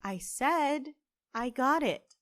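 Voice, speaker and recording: A woman's voice: high voice, lively intonation, slow pace, a bit bright, no room sound, clean studio sound, exaggerated voice. A woman playing an earnest, hopeful young witch who runs a cafe, in a conversational audio drama style. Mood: playfully impatient.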